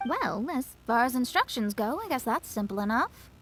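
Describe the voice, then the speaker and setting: High, lilting young astrologer. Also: female voice, very animated, moderate pace, a bit bright, a little hiss or hum. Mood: thoughtful. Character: self-possessed, scholarly young woman astrologer. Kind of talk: anime game voice acting.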